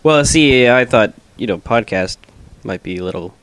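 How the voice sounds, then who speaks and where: A man's voice: mid-range voice, animated, normal speed, a bit bright, a little hiss or hum. A man on a podcast for the first time, speaking hesitantly with dry, self-deprecating remarks. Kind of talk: casual banter.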